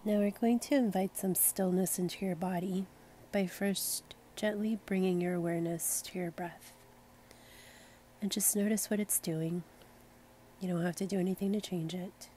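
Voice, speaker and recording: A woman leading a guided meditation in a gentle, soothing, unhurried manner. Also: fairly low pitch, fairly even intonation, slight background noise. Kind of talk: slow guided meditation narration.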